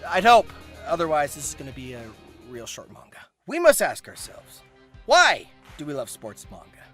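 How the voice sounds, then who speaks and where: A man's voice: a little high, wildly swooping pitch, moderate pace, fairly bright tone, faint music behind. A man hosting a scripted comedic review, narrating theatrically with exaggerated emphasis. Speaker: host.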